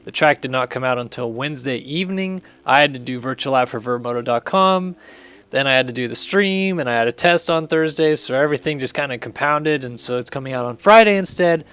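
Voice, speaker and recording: A young man hosting a casual video walkthrough, his voice hoarse and raspy from having lost it, chatty and upbeat. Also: medium pitch, a little fast, fairly bright tone. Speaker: host. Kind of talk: casual game track walkthrough commentary.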